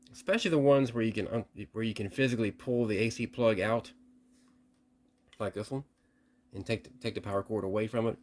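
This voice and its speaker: A man with a fairly low voice talks through a piece of equipment in a casual, hands-on way. He explains step by step, restarting and repeating himself as he goes. There is some light noise behind him. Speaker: radio repair hobbyist presenting a video.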